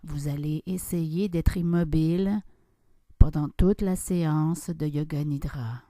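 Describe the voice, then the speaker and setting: A woman guiding a yoga nidra relaxation, giving calm, soft instructions with long pauses between phrases. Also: low-pitched, no echo, clean studio sound. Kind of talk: guided relaxation meditation.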